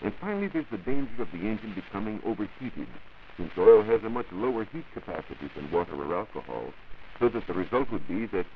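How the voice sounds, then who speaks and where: Really low male voice, swoopy intonation, formal science talk, hissy dull 1940s radio. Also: expressive, fast talker. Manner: formal, expository, precise. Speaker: science reporter and radio panelist. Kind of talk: 1940s educational radio, scripted explanation.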